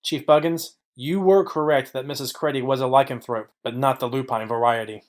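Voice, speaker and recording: A man acting as a cool noir private eye in a mystery audio play, in a medium-pitched voice. He lays things out deliberately, with confidence and wry wit. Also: steady tone, lightly acted. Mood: assured. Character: cool, clever male private detective.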